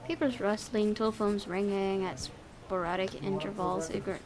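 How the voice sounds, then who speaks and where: A woman's voice: medium pitch, steady tone, normal speed, a crowd in the background. A woman reading visual novel narration aloud, breaking into casual asides as a gaming YouTuber. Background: background music.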